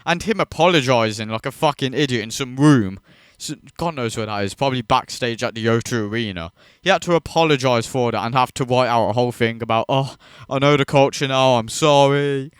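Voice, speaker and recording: A man's voice: medium pitch, brisk, clean tone, dry recording, clean studio sound. A man hosting a reaction video, ranting in disbelief and swearing freely as he mocks what he watches. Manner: incredulous, profane, mocking.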